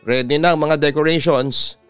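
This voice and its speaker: Man as kindly timid stepmother, gentle, hesitant, clear tone, audio drama narration, soft music behind. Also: fairly high pitch, fairly even intonation, normal speed, no echo, natural voice. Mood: friendly.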